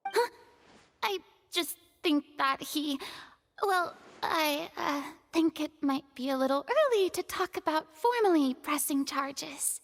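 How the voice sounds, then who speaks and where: A woman's voice: sits high, lively intonation, takes it slowly, big-room sound, heavily acted. A woman playing a theatrical, boastful young noblewoman in an anime-style game, grandiose but easily flustered. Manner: grandiose, dramatic delivery.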